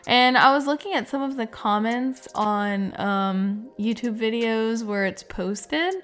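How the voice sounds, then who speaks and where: A woman's voice: on the high side, slow, a bit bright, clean tone. A woman giving casual, informal reaction commentary as a gaming creator, thinking aloud in a hesitant way. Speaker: video game streamer and reviewer.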